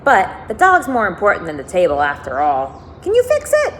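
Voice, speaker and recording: A woman reading aloud as a brisk, chatty, impatient female customer in a children's novel. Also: mid-range voice, wildly swooping pitch, slight background noise. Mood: anxious.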